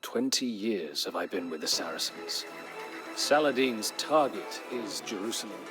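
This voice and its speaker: An actor playing a weary, middle-aged former crusader knight who narrates a game's war chronicle in a solemn, measured, dramatic storytelling manner. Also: male voice, on the low side, animated. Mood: grave, reflective. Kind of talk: dramatic scripted first-person narration. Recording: music under the voice. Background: music.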